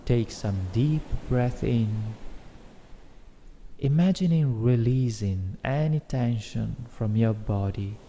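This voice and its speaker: A man guiding a meditation in a gentle, soothing, instructional way, reading as scripted narration. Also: medium pitch, takes it slowly. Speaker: meditation guide. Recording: faint background noise, ambient music. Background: soft ambient music.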